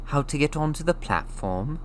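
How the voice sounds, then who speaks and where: Man voicing a bustling motherly woman, pitched up. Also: lively intonation, a little hiss or hum, natural voice. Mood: kindly, reassuring. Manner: motherly, brisk, reassuring. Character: plump, kindly, bustling middle-aged mother of a big family. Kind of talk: audiobook character voice.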